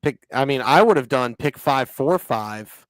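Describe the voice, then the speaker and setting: A man's voice: mid-range voice, animated, unhurried, no echo, low-quality recording. A man hosting a sports betting podcast, easygoingly moving through the segments and reading out picks. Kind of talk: casual betting picks talk.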